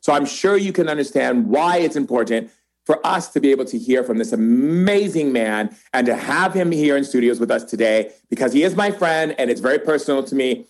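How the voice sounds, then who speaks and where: A man's voice: medium pitch, lively intonation, fairly quick. A man hosting a podcast, talking in an effusive, emphatic way as he introduces and interviews a guest. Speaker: podcast host.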